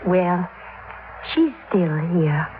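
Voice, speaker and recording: An American actress playing a middle-aged, grieving, gentle mother who believes devoutly in spirits, genteel and earnest, in a 1950s radio drama. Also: fairly low pitch, very animated, drawn-out words, exaggerated voice. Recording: tinny, noisy 1950s radio recording.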